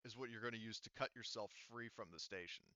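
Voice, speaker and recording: A man's voice: fairly low pitch, quick pace, sharp tone, dry recording, muffled recording. A man running a tabletop game as game master, explaining options casually and thinking aloud with some hesitation. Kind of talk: casual tabletop game narration and rules talk.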